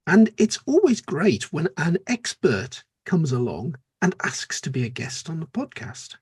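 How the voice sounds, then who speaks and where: An upbeat man co-hosts a podcast and teases his co-host. His voice is very husky, with a slightly high and lively pitch. The sound is dry and close. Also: a little slow, no room sound. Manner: upbeat, teasing. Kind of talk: casual podcast intro.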